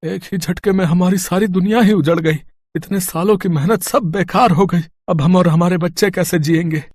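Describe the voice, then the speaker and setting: A man's voice: high-pitched, steady tone, normal speed, darkish tone, dry recording, studio quality. A man voicing a poor, loving father in a moral story, sorrowful and earnest. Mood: despairing.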